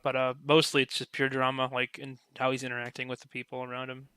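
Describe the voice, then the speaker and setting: A man's voice: mid-range voice, monotone, fast, sharp tone, smooth, no echo. A man chatting as a podcast panelist, tentative and qualifying his opinions as he goes. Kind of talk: casual group discussion.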